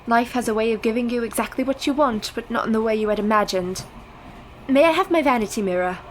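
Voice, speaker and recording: A Victorian wife under a dark curse acted by a woman speaks in a high, measured voice. She is brave and formal in radio-play style, with faint ambience behind her. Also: a little hiss or hum, lightly acted, low-quality recording. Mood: wistful.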